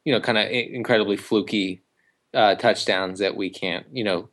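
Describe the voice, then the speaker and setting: A man co-hosting a casual sports podcast, talking in a halting way full of ums and uhs, with dry jokes. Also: lowish voice, fairly even intonation, fairly bright tone. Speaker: podcast co-host.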